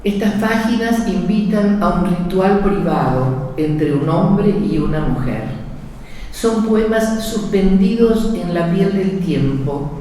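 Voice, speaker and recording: A woman with an Argentine Spanish accent presenting a book, reading prepared remarks in a measured, poetic way. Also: mid-range voice, expressive, dark tone, a little hiss or hum. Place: event hall. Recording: echoey hall from far off.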